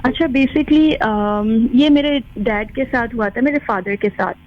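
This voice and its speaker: A woman's voice: on the high side, unhurried. A woman calling a radio show by phone, telling a story casually and mixing in English words. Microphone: phone call. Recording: dull lo-fi phone-in line.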